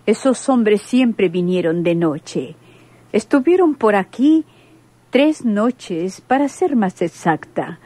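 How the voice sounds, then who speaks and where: A woman playing a sober, respectful witness in a radio drama, recounting things in a careful, measured way. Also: mid-range voice, animated, warm tone, no echo. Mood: grave.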